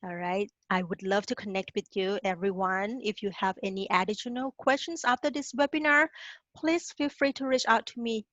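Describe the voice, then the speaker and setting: A woman's voice: medium pitch, moderate pace, fairly bright tone, dry recording, lo-fi sound. A woman from Thailand giving a career webinar to international students, coaching them directly and often checking in with "okay?". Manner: encouraging, direct, tags lines with "okay?". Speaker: career advisor presenting a webinar.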